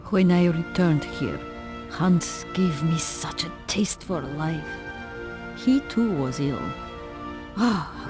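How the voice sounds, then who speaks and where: An actress playing a melancholy old woman, a faded star, speaking mournfully and theatrically in a video game. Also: fairly low pitch. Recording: music under the voice. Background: music.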